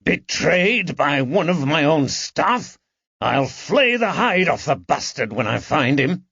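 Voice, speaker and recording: Male narrator as irate old household master, gruff, snapping, raised pitch, dull dry recording. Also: sing-song, takes it slowly, no echo, full character voice. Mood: outraged. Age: older. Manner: indignant, snapping, forceful. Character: angry elderly gentleman, gruff, commanding master of household. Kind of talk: audiobook character dialogue.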